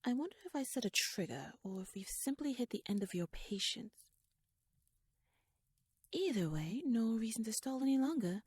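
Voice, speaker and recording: Breathy, shrewd noblewoman, acted by a woman. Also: on the high side, animated, darkish tone, no echo. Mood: playful, probing. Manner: wry, courtly, measured, probing. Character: shrewd, teasing young noblewoman, poised royal chancellor. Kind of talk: ASMR audio roleplay, dramatic monologue.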